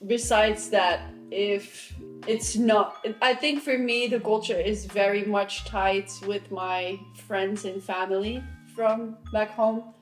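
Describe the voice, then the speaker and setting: Young woman, european, giggly casual chat, soft guitar music behind. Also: medium pitch, steady tone, slow pace, reverberant. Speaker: vlogger.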